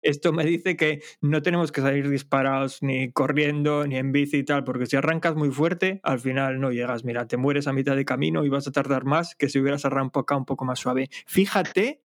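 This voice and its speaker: A man's voice: medium pitch, fairly even intonation, brisk, few pauses. A man with an Asturian accent hosting a podcast, joking and laughing through casual chat. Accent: Asturian Spanish. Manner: jokey and chatty, laughs often. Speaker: podcast host.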